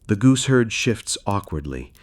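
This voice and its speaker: A man reading a scripted bedtime fairy tale in a soothing, measured way, as a storyteller would to help listeners sleep. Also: lowish voice, big pitch swings, breathy edge. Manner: soothing, measured, unhurried delivery.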